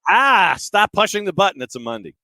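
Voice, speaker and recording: A man's voice: high voice, big pitch swings, a little fast, sharp tone, no room sound. A man hosting a sports show, chatting casually and introducing match clips in a self-deprecating way. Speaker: sports talk show host.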